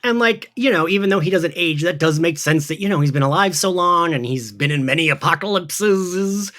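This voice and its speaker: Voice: mid-range voice, lively intonation, brisk, fairly bright tone. A podcast host in casual chat, recapping a story in a chatty way and peppering sentences with "like" and "right". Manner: chatty, heavy use of "like" and "right".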